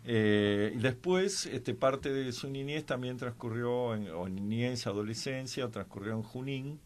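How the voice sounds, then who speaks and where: A man with an Argentine Spanish accent discusses history informally as a guest commentator, explaining in a halting way with drawn-out pauses. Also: medium pitch.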